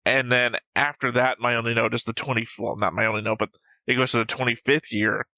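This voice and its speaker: Bright-voiced man, nitpicking reaction commentary. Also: mid-range voice, level tone, brisk, no echo. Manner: analytical, nitpicking. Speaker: reaction show host.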